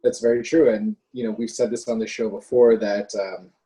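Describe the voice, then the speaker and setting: A man's voice: on the low side, level tone, normal speed, crowd noise, rough recording. A man co-hosting a political podcast, talking casually and hesitantly with many fillers. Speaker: podcast co-host.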